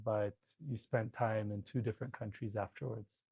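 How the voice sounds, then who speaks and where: A man interviewing a guest, asking brief, gentle prompting questions. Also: on the low side, level tone, moderate pace, gravelly, husky, dry recording.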